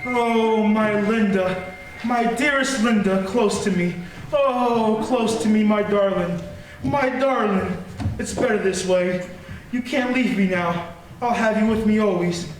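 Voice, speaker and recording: A man's voice: sits high, slow pace. A man playing an agitated, secretive husband in an old-time radio horror play. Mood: obsessively tender. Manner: agitated, nervous, impatient. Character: jumpy, secretive husband hiding a dark secret. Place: theatre stage. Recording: echoey theatre, distant mic, noisy live stream.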